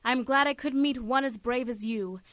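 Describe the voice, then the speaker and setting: A woman's voice: a little high, moderate pace, a bit bright, natural voice. A woman playing a noble, solemn superheroine in an audio drama, with formal, stately phrasing.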